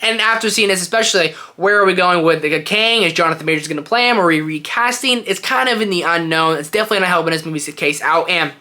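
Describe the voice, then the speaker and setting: Male review host: bright, punchy voice, casual and opinionated, loose rambling delivery with emphasis. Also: high-pitched, fast, few pauses. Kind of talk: casual opinionated movie ranking to camera.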